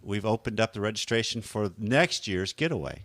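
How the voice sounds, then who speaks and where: A man hosting a podcast in a relaxed, chatty way, with a slightly hoarse deep voice and a habit of correcting himself as he goes. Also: normal speed, slight background noise. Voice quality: deep radio voice. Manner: conversational, rambling, self-correcting. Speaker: podcast host. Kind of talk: casual podcast talk.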